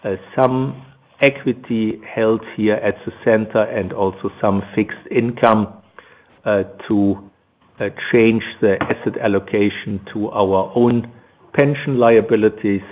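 A man with a fairly low voice gives a corporate results presentation to analysts. He speaks very slowly and haltingly, often hesitating and repeating words as he moves through the material. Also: a little mellow, rough recording. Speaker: company finance executive presenting quarterly results. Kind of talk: prepared results presentation to analysts, delivered loosely. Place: analysts' conference call, slides shown.

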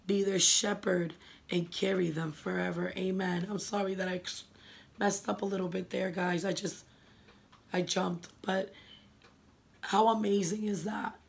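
A young woman, hoarse and raspy from a cold, sharing her faith in an earnest, emotional vlog from her living room. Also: mid-range voice, little pitch movement, a little slow. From New England. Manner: earnest, emotional, gestures a lot with her hands. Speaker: vlogger.

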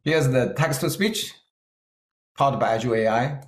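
A middle-aged man from China with a Chinese-Scottish accent, giving an earnest keynote talk with slides and pausing on fillers. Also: medium pitch, roomy sound. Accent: Chinese-Scottish English. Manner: earnest, plain-spoken, with hesitant fillers. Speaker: keynote speaker.

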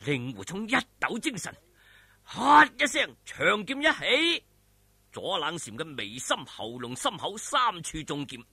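Animated, bright-voiced Cantonese storytelling man. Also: fairly high pitch, a little slow, creaky, slightly rough, no echo. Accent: Hong Kong Cantonese. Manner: theatrical, colloquial, emphatic. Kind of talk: dramatic wuxia audiobook narration.

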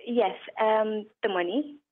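A woman's voice: medium pitch, takes it slowly. Woman with a Romanian accent, phone-line caller; narrow lo-fi sound, plainly blunt and matter-of-fact. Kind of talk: phone-in interview. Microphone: telephone.

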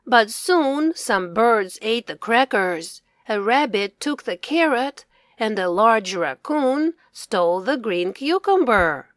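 A woman narrates a children's story at a very slow pace. Her voice is high and animated, with careful, deliberate enunciation suited to young learners. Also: no room sound, studio quality. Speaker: children's author reading own story aloud.

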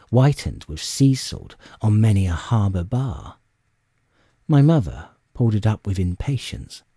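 A man narrating a classic adventure audiobook in an expressive, suspenseful storytelling manner. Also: fairly low pitch, breathy edge, dry recording, studio quality. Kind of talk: dramatic scripted storybook narration.

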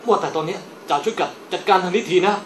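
A man playing a brisk, dutiful police inspector in a radio drama. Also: high-pitched, a little hiss or hum, close to an everyday voice.

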